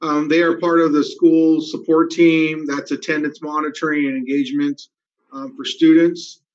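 A school district administrator giving a town hall presentation over a video call, speaking steadily with frequent "um" fillers. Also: male voice, a little high, little pitch movement, normal speed, a little mellow. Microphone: video call webcam. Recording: dull lo-fi video call audio.

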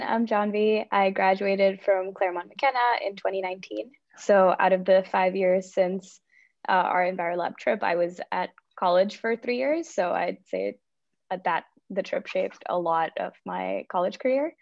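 Creaky-voiced woman on a dull call line. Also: mid-range voice, moderate pace, dry recording. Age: 20s. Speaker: panelist. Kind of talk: self-introduction on a video call. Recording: dull, dry call audio.

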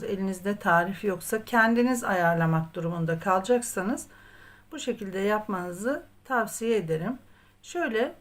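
A woman with a mid-range voice teaches a craft as a tutorial host. She goes step by step, patient and methodical, in the manner of someone guiding viewers through handwork. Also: mid-range voice. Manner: patient, methodical, often counting aloud.

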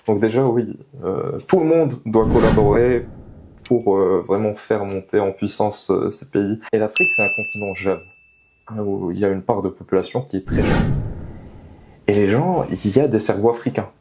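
A man's voice: fairly low pitch, normal speed, darkish tone, slight background noise. A young man being interviewed, explaining his views earnestly and emphatically, repeating key phrases, on a lapel mic indoors. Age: young adult. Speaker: interviewed engineer. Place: small meeting room, indoors. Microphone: clip-on lapel mic.

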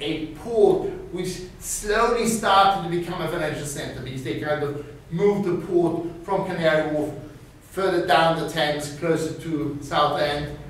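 A middle-aged man lectures on finance, his voice a little raised in pitch and lively with gestures. He is picked up by a distant camera in a small, very echoey classroom. A faint hiss sits under the sound. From Austria. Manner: animated, gestures widely, peppers talk with "okay?". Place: small classroom with screen and whiteboard. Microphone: far camera.

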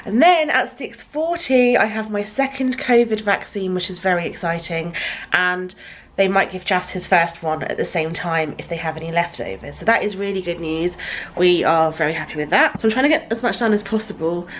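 Woman, thirties, vlogger, low-ish chatty voice, tired, handheld camera, light background hiss. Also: normal speed, low-quality recording. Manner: chatty, breathless lists of errands. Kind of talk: casual vlog chat to camera. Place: bedroom at home, later a parked car. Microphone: handheld compact camera at arm's length.

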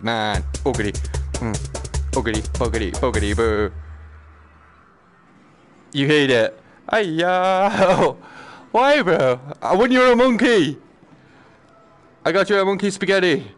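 A man giving casual live game commentary, slangy and sweary, muttering to himself and breaking into exclamations. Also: medium pitch. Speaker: game streamer.

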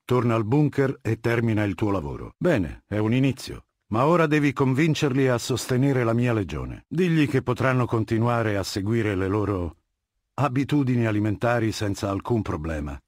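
An actor playing a cold, commanding, intellectual warlord dictator in a video game, speaking with deliberate, lecturing authority. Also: male voice, mid-range voice, lively intonation, darkish tone, no echo, studio quality, natural voice. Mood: weighing, commanding. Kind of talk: dramatic game dialogue, monologue.

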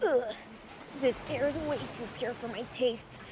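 A woman's voice: sits high, fairly even intonation, unhurried, noisy background. A woman playing a small, cocky young male demon prince in a video game, brash and boastful. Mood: grumpy, puzzled. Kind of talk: video game cutscene acting.

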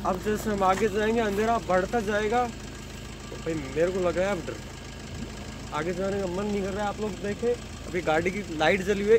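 A man's voice: sits high, noisy background. Young man narrating a spooky night drive. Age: young adult. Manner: excited, addresses viewers as guys. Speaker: vlogger. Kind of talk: ghost-hunting night vlog. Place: dark rural road at night, outdoors and in a car.

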